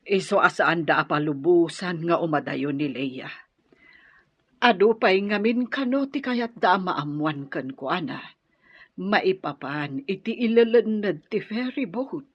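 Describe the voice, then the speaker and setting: A woman's voice: lowish voice, animated, unhurried, slightly rough. A woman with an Ilocano accent playing a worried mother of a grown son in a radio drama, on dull lo-fi audio. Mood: suspicious, pondering. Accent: Filipino, Ilocano.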